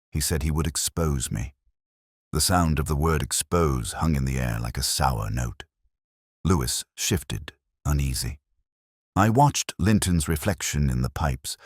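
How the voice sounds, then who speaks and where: A man narrating a first-person detective story in a measured, brooding, literary manner. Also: breathy edge, no room sound, clean studio sound. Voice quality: very deep.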